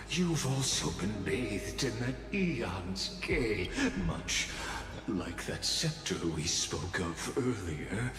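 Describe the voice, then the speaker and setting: A man playing a smug, theatrical game villain who talks down to others in a mocking, grandiose manner. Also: lowish voice. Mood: grandly satisfied. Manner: drawn-out, mocking, grandiose delivery. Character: smug, theatrical male villain, coolly condescending schemer. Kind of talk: dramatic video game voice acting. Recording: cavernous reverb over a music bed.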